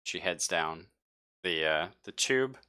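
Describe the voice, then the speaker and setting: A man's voice: low-pitched, lively intonation, slow pace, pushed and bright, smooth. A man running a tabletop roleplaying game, improvising narration and bantering casually with his players. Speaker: game master.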